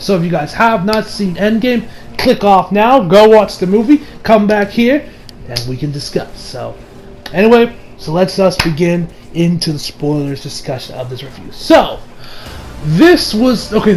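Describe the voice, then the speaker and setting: A man giving a casual, rambling review in an informal, excitable, sweary way. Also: high-pitched. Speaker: YouTube movie reviewer.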